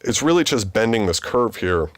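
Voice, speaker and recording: A man in his thirties with a deep voice, casually explaining vocal mixing in a home studio tutorial. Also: moderate pace, no echo, soft background music. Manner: relaxed, conversational explaining with fillers. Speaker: music producer teaching mixing. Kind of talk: screen-recorded tutorial.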